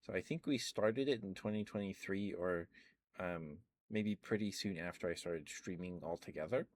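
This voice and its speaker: A man rambling casually about video games in a soft-spoken ASMR stream, full of ums and uhs. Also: low-pitched, moderate pace, no room sound.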